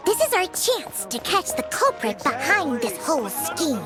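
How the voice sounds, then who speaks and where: Little female fairy, game character, childlike, very high-pitched, animated, bossy, chirpy. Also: measured pace. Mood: eager, nervous. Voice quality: squeaky, childlike. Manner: chirpy, exaggerated, expressive. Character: small excitable childlike female fairy companion, cute and bossy. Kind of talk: game character voice acting, third-person speech. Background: music.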